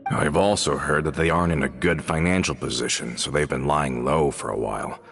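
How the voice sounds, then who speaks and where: A man's voice: rumbling low, brisk, vocal fry, fairly bright tone, breathy edge, faint music behind, heavily acted. An actor voicing a loyal, earnest young male gang member in a game, worried and explaining at length. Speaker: henchman to the boss. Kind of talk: game voice acting.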